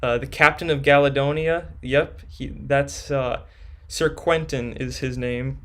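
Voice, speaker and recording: A man's voice: medium pitch, steady tone, measured pace. A young man hosting a live stream, reading viewers' questions from chat and answering them casually.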